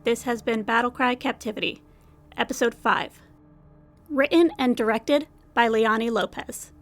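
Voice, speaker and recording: A woman reads scripted closing credits for an audio drama. Her voice sits a little high, and music plays underneath her. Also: moderate pace. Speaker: credits announcer.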